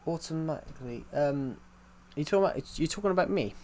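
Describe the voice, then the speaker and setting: A man's voice: a little high, expressive, a little fast, a little mellow, slight background noise. A man live streaming from his PC, chatting casually and rambling as he answers chat messages aloud, with a thick accent that leans hard on the R. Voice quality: thick accent, strong R sounds. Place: at home at a PC.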